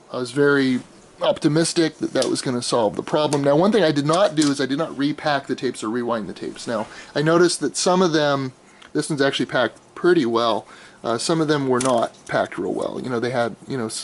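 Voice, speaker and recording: A middle-aged American man gives a casual, unscripted show-and-tell, thinking aloud in a halting, explanatory way. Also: mid-range voice. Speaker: amateur audio archivist showing his tapes. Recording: clearly noisy home video, room ambience.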